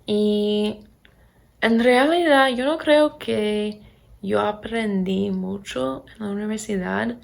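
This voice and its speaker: A young American woman with an American accent, speaking as a second-language learner in a casual vlog, halting and searching for words. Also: mid-range voice, vocal fry. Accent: American English accent in Spanish. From Pennsylvania, USA. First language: English. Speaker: vlogger and English teacher. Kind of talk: casual chat to camera.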